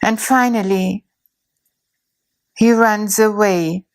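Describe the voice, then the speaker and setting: Slow, soothing woman narrator. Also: mid-range voice, fairly even intonation. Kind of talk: guided imagery narration.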